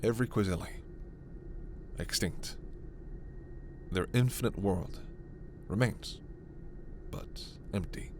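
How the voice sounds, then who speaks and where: A man playing a gentle, earnest alien scientist in a sci-fi ASMR roleplay, speaking in a measured, hesitant, soft way. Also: fairly low pitch, gravelly, a little hiss or hum. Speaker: voice actor.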